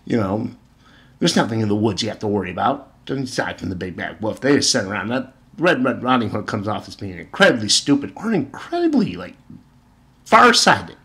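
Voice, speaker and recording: A man's voice: fairly low pitch, sing-song, a little fast, bright, forceful tone. A man giving informal opinion commentary and retelling stories, with exasperated, sarcastic emphasis and rhetorical questions. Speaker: video commentator.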